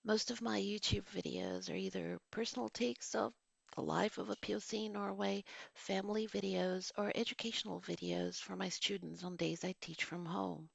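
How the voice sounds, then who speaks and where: A woman's voice: medium pitch, moderate pace, vocal fry, husky, dry recording, lo-fi sound. A woman in her forties, a vlogger and teacher, reads a written movie review and drifts off into casual, digressive asides. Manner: digressive, reads formal sentences then drifts into casual remarks. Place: home.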